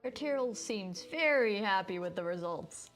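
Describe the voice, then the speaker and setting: A woman's voice: mid-range voice, animated, moderate pace, music in the background. A woman streaming a game, reading dialogue aloud and adding casual comments.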